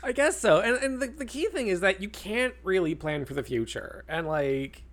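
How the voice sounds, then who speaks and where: A podcast co-host chatting casually, giggly, saying "like" constantly and breaking into loud laughter. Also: medium pitch, expressive, moderate pace. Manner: giggly, heavy use of "like", bursts of loud laughter. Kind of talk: casual chat.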